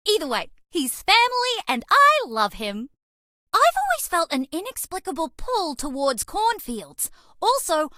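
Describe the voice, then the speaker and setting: Very high, cute alien mouse girl. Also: pushed and bright, no echo, studio quality, heavily acted. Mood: chirpy, playful, then excited, eager. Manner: bubbly, earnest, quirky, affectionate. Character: cute, sweet, eager young female alien mouse space traveller. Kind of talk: dating game character voice lines.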